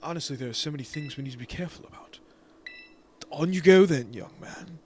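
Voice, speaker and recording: A man voicing a polite, gently stern older school director, reading the lines in a soft, formal way while playing a game. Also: fairly high pitch, expressive, brisk, slight background noise, close to an everyday voice.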